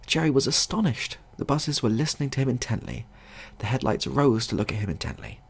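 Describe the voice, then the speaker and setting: Guy reading a funny story, raspy and croaky, pitch all over the place. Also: lively intonation, fairly quick. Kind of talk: scripted comic story narration.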